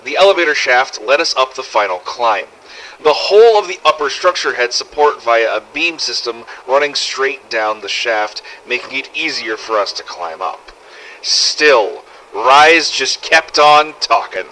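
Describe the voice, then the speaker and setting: A man's voice: medium pitch, expressive, a little slow, bright, forceful tone, low-quality recording. A man narrating a scripted first-person survival story in character, with a dramatic, gritty delivery and some swearing. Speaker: narrator of fan-made game audio logs.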